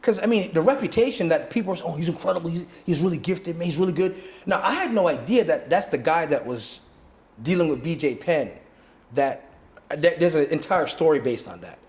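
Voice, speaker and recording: A man's voice: fairly high pitch, lively intonation, a little fast. A middle-aged man from Jamaica, a martial arts teacher telling his story in an interview, acting out what others said in shifting voices, with many restarts. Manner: acts out other people's lines in changing voices, many restarts. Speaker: martial arts instructor and guest. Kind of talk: animated storytelling in conversation. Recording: echoey room.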